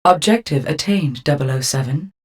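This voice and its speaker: A woman voicing an impassive onboard computer in a video game, making terse, flat status announcements. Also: deep voice, moderate pace, husky. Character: impassive female car computer issuing mission alerts.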